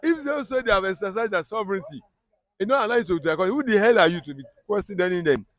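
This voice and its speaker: Emphatic Nigerian man, high voice. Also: animated, quick pace, fairly bright tone, dry recording, clean studio sound. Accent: Nigerian, Yoruba. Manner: emphatic, repetitive, stumbles and restarts often. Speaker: commentator. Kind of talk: heated political talk.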